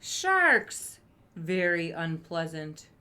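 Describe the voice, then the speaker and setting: Excitable grade-school kid, played by a woman reader: emphatic, sharp, raised voice for a storybook. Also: fairly low pitch, drawn-out words, voice pushed down, heavily acted. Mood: earnest guessing. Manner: loud, emphatic exclaiming. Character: excitable schoolchildren guessing and exclaiming. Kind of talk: picture-book read-aloud for children.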